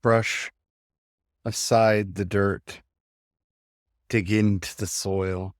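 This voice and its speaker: A man with a lowish voice leads a guided meditation very slowly. He gives gentle instructions in short phrases, leaving room between them. The sound is dry and close, with little room echo. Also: fairly even intonation.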